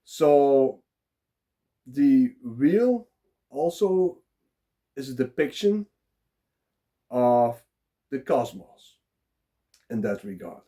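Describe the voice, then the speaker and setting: A man with a Dutch accent, talking live and unprepared as a blogger, pausing often with filler sounds. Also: mid-range voice, big pitch swings, dark tone. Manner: halting, many filler sounds. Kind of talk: unscripted solo talk.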